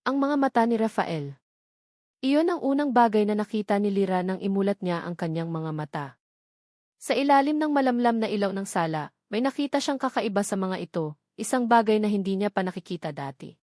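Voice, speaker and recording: Casting: female Filipino-accented storyteller, mid-pitched, scripted dramatic romance narration, dry studio-like sound. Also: animated, fairly quick, a little mellow, dry recording.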